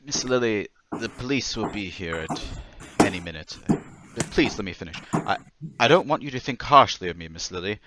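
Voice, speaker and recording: Man acting an unsettled, halting, desperate murder confessor. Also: on the low side, expressive, a crowd in the background, lightly acted. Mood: anxious, halting. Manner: halting, agitated, stammering, pleading. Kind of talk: old time radio drama acting.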